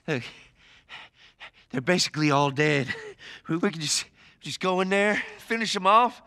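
A man's voice: on the high side, lively intonation, unhurried, pushed and bright, no room sound, clean studio sound, full character voice. A man acting a desperate, wounded gun dealer in a video game cutscene, stammering and pleading.

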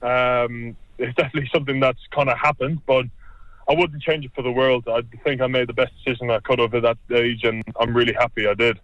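A man's voice: mid-range voice, quick pace, no echo, highs cut off. A young man with an Irish accent, a rugby player chatting casually as a guest and often repeating himself. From Ireland. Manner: relaxed, rambling, repeats himself. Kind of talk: casual interview chat.

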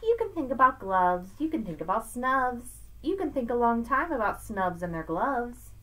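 A middle-aged woman speaks as a teacher to young children, as if in a storybook lesson at home. Her voice sits fairly high and moves in big, animated swings. She leans on key words expressively, picked up by a webcam in a small indoor room. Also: normal speed, rough recording. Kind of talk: read-aloud lesson for children, rhyming picture book. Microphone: webcam at arm's length.

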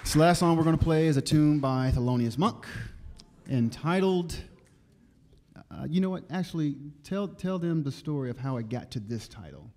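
A man, a jazz bandleader, talking casually to a live audience from the stage, relaxed and full of restarts. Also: a little high, moderate pace. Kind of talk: casual stage announcement at a concert. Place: stage of a concert hall before a live audience. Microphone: stage vocal mic on a stand. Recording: roomy hall sound with audience noise.

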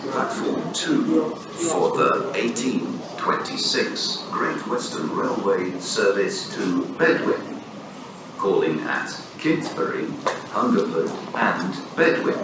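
A man reading automated train announcements in a slow, formal way. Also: deep voice, big pitch swings, distant mic. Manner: slow, formal, lilting delivery. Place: railway station platform. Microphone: station loudspeakers recorded from far off. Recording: very echoey platform speakers, loud noise. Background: loud station ambience.

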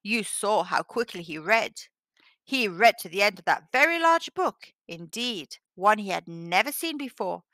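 A woman voicing a calm, clever, gently sly village wise man in a storybook, soft-spoken and assured. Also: medium pitch, a little slow, no echo. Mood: sly, innocent. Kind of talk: storybook character voice.

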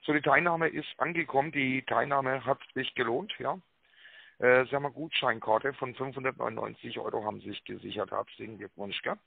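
A man giving a rehearsed telephone sales pitch, full of tag words and hesitations, with a raspy voice. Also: fairly quick, no echo. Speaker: telemarketing caller pitching a prize draw. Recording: narrow tinny phone line.

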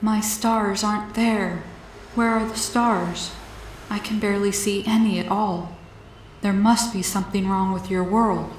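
Woman voicing a slow, gentle male alien. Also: mid-range voice, steady tone, reverberant, a little hiss or hum, natural voice, low-quality recording. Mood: lamenting. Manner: slow, measured, formal phrasing. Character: gentle, curious, wistful male alien visitor.

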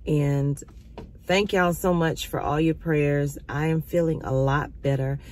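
A middle-aged woman vlogging from a parked car, her voice still slightly raspy as she recovers from illness, talking casually to her viewers and calling them y'all. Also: sits low, fairly even intonation, a little slow, darkish tone, slight background noise. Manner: chatty, addresses viewers as y'all. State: recovering, occasional cough. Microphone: phone camera at arm's length.

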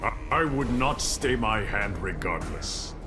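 An actor playing a stern, merciless enforcer in a video game, formal and commanding, over music. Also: male voice, fairly low pitch, animated, measured pace. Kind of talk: video game character dialogue.

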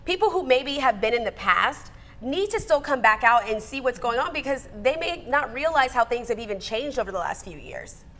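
Chatty, slightly high-voiced female TV host. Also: very animated, moderate pace, few pauses, pushed and bright. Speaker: morning TV show host. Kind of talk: chatty live TV hosting. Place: TV studio.

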